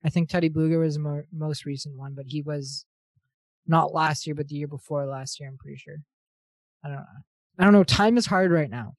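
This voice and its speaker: A man in his twenties, co-hosting a casual sports chat, rambling and quick to laugh. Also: fairly high pitch, fairly even intonation, no room sound. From Ontario, Canada. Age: 20s. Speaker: podcast co-host.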